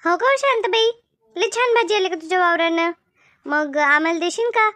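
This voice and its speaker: A woman's voice: extremely high pitch, animated, moderate pace, pushed and bright, dry recording, full character voice. A woman voicing an eager, gossipy village neighbour in a comedy cartoon, effusive and inquisitive, with a rural Maharashtrian accent. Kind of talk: animated comedy cartoon dialogue.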